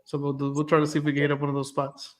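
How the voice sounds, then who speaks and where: Fast-talking man telling stories. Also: on the high side, monotone. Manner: fast storytelling, acts out quotes. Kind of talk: casual chat.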